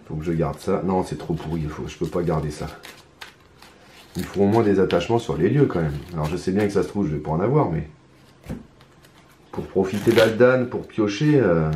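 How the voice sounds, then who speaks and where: A hoarse man talking his way through a solo card game in hesitant, mumbled commentary. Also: low voice, mellow, a little hiss or hum. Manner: hesitant, mumbling, many restarts, muttering to himself. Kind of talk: casual solo gameplay commentary. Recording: roomy echo, faint ambience.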